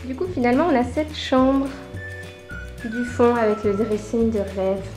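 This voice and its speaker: A young woman with a high voice gives an excited, exclamatory tour for a holiday vlog. She records on a handheld camera in echoey villa rooms. Music plays along with her talk. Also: measured pace. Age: 20s. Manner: enthusiastic, exclamatory. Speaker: vlogger. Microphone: handheld camera at arm's length.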